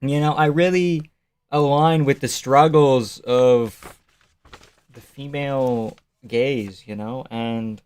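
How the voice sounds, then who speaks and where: Sarcastic young man with a smooth voice. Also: mid-range voice, very slow, long pauses, darkish tone, no echo. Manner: sarcastic, offhand, swears and laughs often. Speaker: live stream host. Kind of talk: casual live stream chat reading comments.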